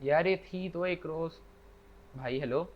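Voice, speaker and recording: A man's voice: fairly high pitch, takes it slowly. A man co-hosting a podcast, chatting casually and reciting bits of verse over an online call. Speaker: podcast co-host. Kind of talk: casual chat, reciting couplets. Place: remote internet call. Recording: dull lo-fi online call, faint noise.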